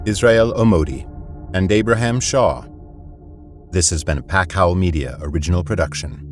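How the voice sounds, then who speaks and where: A man giving scripted narration for an audio fiction series. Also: deep voice.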